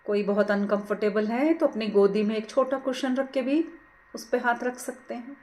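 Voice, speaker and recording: A woman leading a guided meditation, giving soothing, gentle, unhurried instructions. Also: on the high side. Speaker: meditation teacher.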